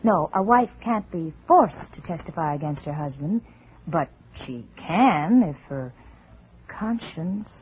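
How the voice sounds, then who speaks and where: A woman's voice: on the low side, expressive, a little slow, slight background noise. An actress playing a cool, scheming American wife in an old radio suspense drama, coy and sweetly menacing as she mocks and pressures. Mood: mocking, menacing. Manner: coy, silky, mocking. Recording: muffled vintage radio recording.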